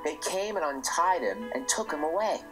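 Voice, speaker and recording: A middle-aged man, the author, reading his rhyming children's book aloud in a lively, expressive way. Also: fairly high pitch, normal speed, over music.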